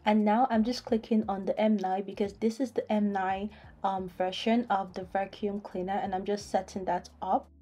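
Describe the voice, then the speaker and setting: A woman's voice: mid-range voice, steady tone, moderate pace, warm tone, dull audio. A young woman vlogger talking viewers through a home gadget demo in a chatty way, with lots of "um" fillers. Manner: chatty, many "um" fillers, addresses viewers as guys. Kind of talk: product demo voice-over. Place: home, indoors.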